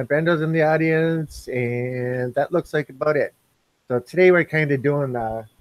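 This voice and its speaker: A man's voice: fairly high pitch, dry recording. A man hosting a casual live stream, reading the chat aloud and joking back at his co-host.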